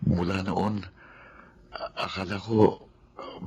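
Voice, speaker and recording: A man's voice: low-pitched, flat delivery, takes it slowly, darkish tone, slightly rough, heavily acted. A man with a Filipino accent playing a humble, hesitant and deferential husband in a TV drama. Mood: remorseful, hesitant. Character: humble, hardworking husband, polite and remorseful.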